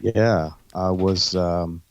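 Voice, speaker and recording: Very deep male voice, middle-aged, from Poland, measured and hesitant, dull lo-fi sound. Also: fairly even intonation, a little hiss or hum. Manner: measured, hesitant, many fillers and restarts. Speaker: guest, jiu-jitsu instructor. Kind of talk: interview.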